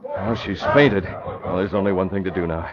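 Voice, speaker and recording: An actor playing a composed, resolute crime-fighter, brisk and clipped, in 1940s radio style. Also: male voice, low-pitched, a loud crowd, lightly acted. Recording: dull, noisy old radio recording.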